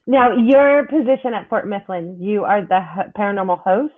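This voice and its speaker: A woman's voice: medium pitch, normal speed. A woman hosting an interview, chatting casually and enthusiastically and restarting her questions. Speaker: podcast host interviewing a guest. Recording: phone-like call audio.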